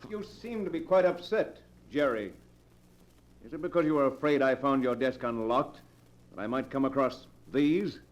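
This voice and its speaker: An actor playing a wealthy betrayed husband in an old radio drama, speaking in formal, pointed phrases. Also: male voice, mid-range voice, normal speed, natural voice. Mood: cold, accusing. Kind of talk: golden age radio drama acting. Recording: muffled old radio show recording.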